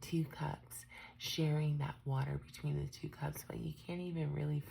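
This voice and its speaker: A woman with a stuffed-up cold, doing a tarot reading in a soft, often half-whispered, musing way. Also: low voice, level tone, moderate pace, gravelly, a little hiss or hum. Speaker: tarot reader. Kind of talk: unscripted tarot reading for viewers.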